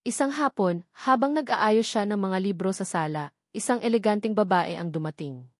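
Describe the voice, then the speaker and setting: A woman's voice: medium pitch, animated, dry recording, studio quality. Woman narrating a romance drama. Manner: measured storytelling.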